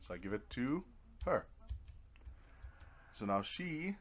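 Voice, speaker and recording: A man's voice: mid-range voice, very animated, slow. A man giving casual let's play commentary as a gaming video host, laid-back and muttering asides to himself.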